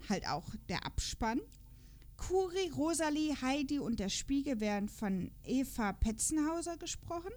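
Woman podcaster w a high voice, chatting casually, kinda jokey, going thru her notes. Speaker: podcast host.

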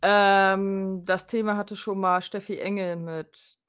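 A woman speaks at a medium pitch as a podcast co-host. Her delivery is informal, like an everyday chat between two people. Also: steady tone, slow pace, fairly bright tone, clear, resonant tone. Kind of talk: casual chat.

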